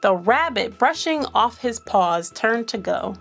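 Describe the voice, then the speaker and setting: A woman reading a children's picture book aloud in an expressive, animated storyteller's manner. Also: on the high side, measured pace, creaky, soft background music. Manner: animated, asks young listeners questions.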